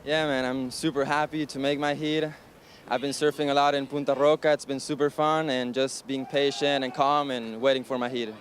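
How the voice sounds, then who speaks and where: A man's voice: medium pitch, little pitch movement, moderate pace, a little hiss or hum. A young man from Norway, a junior surfer, talking eagerly in a beachside interview. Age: young adult. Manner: eager, simple phrasing. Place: outdoors on a beach.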